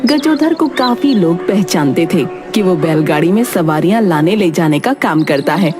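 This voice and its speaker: A woman reading scripted narration for a children's moral story in an expressive storytelling way. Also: lowish voice, normal speed, music in the background.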